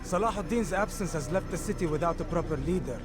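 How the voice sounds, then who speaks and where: An actor playing a disdainful assassin bureau chief in a video game, curt, formal and sharp-tongued. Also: male voice, a little high, fast, music in the background, lightly acted. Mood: irritated, scornful. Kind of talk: dramatic video game cutscene acting.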